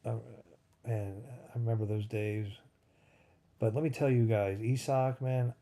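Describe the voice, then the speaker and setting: A man's voice: fairly low pitch, fairly even intonation, a little slow, mellow. A man in his fifties hosting a live stream, chatting casually and rambling as he answers viewers' comments. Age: 50s. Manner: rambling, thinks aloud, answers chat comments. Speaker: livestream host.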